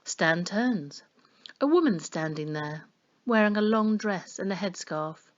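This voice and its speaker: A woman reading a children's story aloud in an expressive storytelling style. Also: mid-range voice, a little slow, lo-fi sound. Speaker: story reader. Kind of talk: expressive children's audiobook narration.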